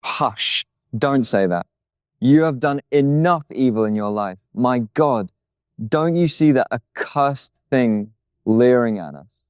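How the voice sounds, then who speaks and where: A man's voice: medium pitch, lively intonation, takes it slowly, clear, resonant tone, no echo, clean studio sound, voice pushed up. A man voicing a horrified, pious male friend in an audiobook, pleading earnestly. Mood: horrified, urgent. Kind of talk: audiobook character voice.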